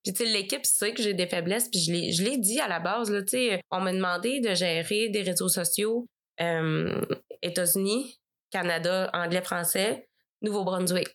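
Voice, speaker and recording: A woman's voice: medium pitch, normal speed, a bit bright. A woman with a Québécois French accent, a guest on a business podcast, chatting casually with many fillers and frequent laughter. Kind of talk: casual conversation.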